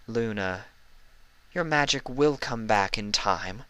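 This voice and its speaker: A regal, serene elder sister pony from a storybook, as a male narrator puts her on. Her voice is lifted a little and smooth, with a measured, soothing delivery and a gently teasing air. The recording is dry. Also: on the low side, animated, dry recording, pitched above the performer's own voice, heavily acted, low-quality recording. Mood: calm, patient.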